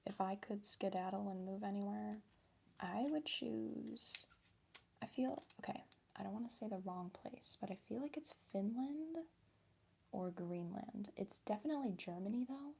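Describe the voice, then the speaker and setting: A young woman with a Midwestern accent chatting softly for an ASMR video, slipping between whispers and a quiet, giggly voice as she answers viewers. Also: medium pitch, normal speed, gravelly, breathy edge. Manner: soft-spoken, drifting between whisper and quiet voice. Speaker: ASMR creator. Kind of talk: ASMR chat while crafting.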